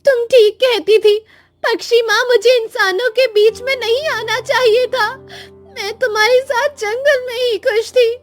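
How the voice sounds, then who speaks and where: Woman voicing a very high, plaintive young orphan bride. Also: fairly even intonation, a little slow. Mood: sorrowful. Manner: plaintive, pleading. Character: distressed young orphan daughter-in-law, meek, pleading, tearful. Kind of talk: cartoon moral story acting.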